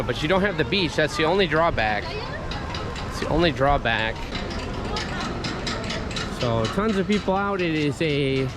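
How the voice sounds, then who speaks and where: A man walking and filming a travel vlog, chatting and marveling at the buildings, and still getting over a cold. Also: fairly high pitch. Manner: chatty, exclaims at sights, keeps saying "guys". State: recovering from a cold. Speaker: travel vlogger. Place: busy city street outdoors. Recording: loud street noise. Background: loud traffic and street noise.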